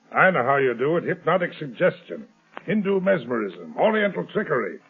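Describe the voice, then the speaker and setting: A man's voice: fairly high pitch, sing-song, normal speed, slightly rough, soft background music, full character voice. An actor playing a cocky, threatening male kidnapper who sneers his defiance in a 1930s radio drama. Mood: sneering. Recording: tinny old radio broadcast.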